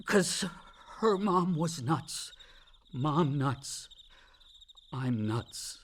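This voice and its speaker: An actor playing a drunk, bitter, self-mocking son with slurred, sardonic delivery in a radio play. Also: male voice, a little high, animated, extremely slow, full character voice. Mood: bitter, agitated. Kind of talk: naturalistic radio play acting.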